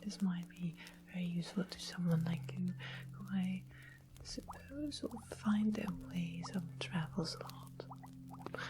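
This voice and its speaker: A woman acts out a royal witch from a medieval fantasy ASMR roleplay, sweet and sly. She speaks in a hushed, breathy whisper at a low pitch, coaxing and deliberate. Clear ambient noise and music sit behind the voice. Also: close to an everyday voice. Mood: solemn, confiding. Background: soft music and ambience.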